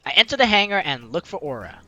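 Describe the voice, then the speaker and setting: A man's voice: on the high side, very animated, fast, sharp tone, no room sound, highs cut off. A man hosting a gaming video, reading game narration aloud and chatting casually with playful asides. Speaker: gaming video host, let's player. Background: game music.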